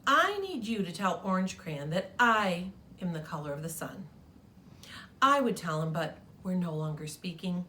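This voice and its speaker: A woman voicing a whiny, boastful yellow crayon from a children's storybook, indignant and insistent. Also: on the low side, sing-song, moderate pace, a little hiss or hum.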